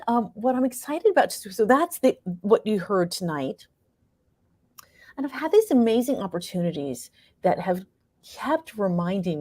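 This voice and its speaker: A woman's voice: mid-range voice, dark tone, dry recording. A woman answering interview questions as a playwright and performer, conversational and reflective, often searching for words.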